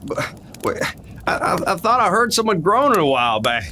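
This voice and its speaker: A man's voice: high voice, big pitch swings, bright, forceful tone, slight background noise, exaggerated voice. A man as dazed, wounded sheriff, swooping voice. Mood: pained, dazed. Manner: folksy, groaning, halting. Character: injured, dazed but determined male western sheriff. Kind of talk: western radio drama acting.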